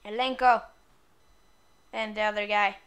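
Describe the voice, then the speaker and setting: A woman's voice: medium pitch, level tone, unhurried, a bit bright, clear, resonant tone. A woman giving casual, irreverent video game commentary, playfully muttering asides as she plays.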